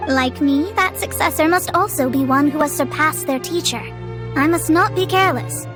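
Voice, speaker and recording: Lady playing a proud young astrologer girl in a game, high voice, formal and snooty, music under it. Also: normal speed, lightly acted. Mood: confident, persuasive. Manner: formal, haughty, theatrical. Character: proud young female astrologist, lofty and self-assured. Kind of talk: video game voice acting. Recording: music behind the voice.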